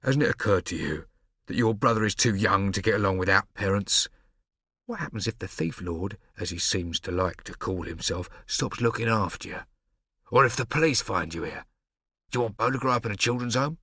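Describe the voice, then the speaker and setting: Weary middle-aged detective as a man puts him on, gloomy, measured, low gravelly voice. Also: pushed and bright, no echo, studio quality, heavily acted.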